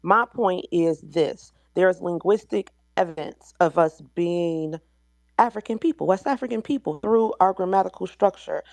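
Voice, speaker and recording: A woman's voice: fairly low pitch, moderate pace, a little mellow, no echo. A woman with an American accent speaking as a guest in an online discussion, explaining things in an assertive, teacherly way.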